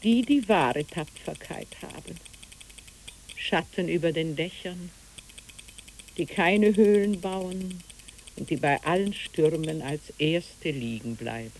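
A woman's voice: darkish tone, low-quality recording. An actress playing a dreamy, musing country woman who knits, in a literary radio play. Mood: musing, probing. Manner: slow, musing, swooping in pitch. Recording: hissy old radio recording.

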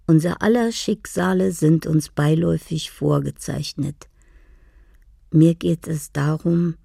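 Older woman, dreamy, poetic, dark husky timbre, slow measured monologue, radio drama. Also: low voice, no room sound, studio quality, full character voice. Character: dreamy older woman in assisted living, poetic letter writer and reminiscer.

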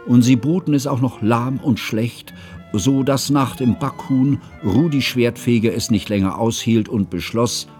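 A man acting as an educated, observant narrator in a radio play, speaking in formal, ornate and deliberate phrases. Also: medium pitch, a little mellow, natural voice. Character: educated male first-person narrator, measured, observant friend.

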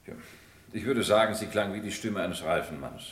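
Low-voiced, careful solicitor witness. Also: male voice, expressive, normal speed, reverberant. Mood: cautious, formal. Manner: formal, careful. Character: measured, obliging male solicitor as trial witness. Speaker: actor in crime radio play. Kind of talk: classic German crime radio drama acting.

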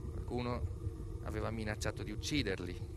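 A man performing a dramatic narrative monologue as a stage actor, emphatic, with deliberate repetitions and pauses. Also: on the low side, steady tone. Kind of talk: dramatic theatrical monologue storytelling. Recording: noisy recording with loud background ambience.

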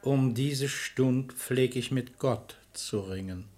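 A man's voice: mid-range voice, darkish tone, natural voice. A man playing a sanctimonious, oily hypocrite posing as a holy man, with pompous delivery in a verse comedy radio play. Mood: pompously pious. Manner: pompous, drawn-out, pious.

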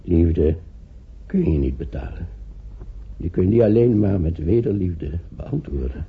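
An actor playing a lonely, sick old gentleman in a radio drama. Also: deep voice, animated, unhurried, breathy edge, heavily acted. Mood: tender, probing. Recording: tinny, narrow-band old radio recording.

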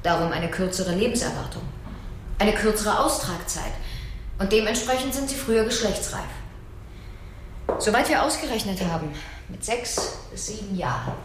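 An actress playing an earnest, defensive scientist, explaining insistently in a radio drama. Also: female voice, mid-range voice, very animated, moderate pace, background noise, close to an everyday voice. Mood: matter-of-fact. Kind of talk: German radio drama acting.

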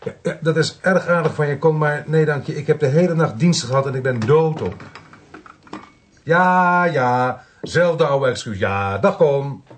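An actor playing a weary, sceptical police inspector in a radio play, curt and dry, with a husky voice. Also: male voice, on the high side, unhurried, a little hiss or hum, natural voice. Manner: curt, dry, matter-of-fact. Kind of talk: radio play acting and narration.

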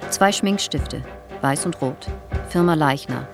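Low, detached evidence reader. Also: female voice, slow pace, over music. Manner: factual, itemising, detached. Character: impersonal female reader of case evidence. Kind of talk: documentary-like scripted narration in radio drama.

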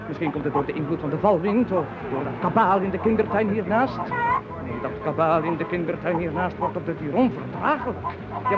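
An actor playing a confused, irritable man who rambles and swings into pompous outbursts, in a radio play. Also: high voice. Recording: noisy old radio play recording.

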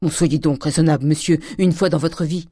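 A woman's voice: low-pitched, steady tone, a little fast, warm tone, husky, no room sound, clean studio sound. A woman voicing an outspoken, loyal housemaid in a storybook, crying out urgently. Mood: urgent scolding.

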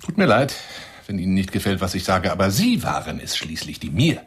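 A man's voice: deep voice, very animated, fairly quick, very noisy. A man playing a boastful, touchy lion hunter in a radio play monologue, speaking in a wheedling, pompous manner. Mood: condescending, needling. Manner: wheedling, pompous, swinging into whispers. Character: boastful, touchy male lion hunter telling tall tales.

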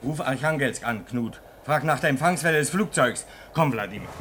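An actor playing a brisk, commanding man who leads a crew in a radio play. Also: mid-range voice, lively intonation, a bit bright, slight background noise, close to an everyday voice. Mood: brisk orders. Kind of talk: German radio play acting.

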